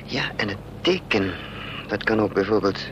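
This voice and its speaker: A man's voice: fairly low pitch, lively intonation, moderate pace, dull audio, natural voice. An actor playing an anxious, scheming adventurer in a radio play, muttering and hesitating as he thinks aloud. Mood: brooding, urgent. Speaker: protagonist and narrator of a radio play. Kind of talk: Dutch radio play acting. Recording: noisy old radio play recording, vehicle drone.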